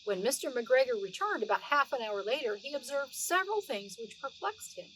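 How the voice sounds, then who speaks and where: Female storyteller, middle-aged, high voice, expressive children's read-aloud with drawn-out words and chatty asides; faint background noise. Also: poor audio.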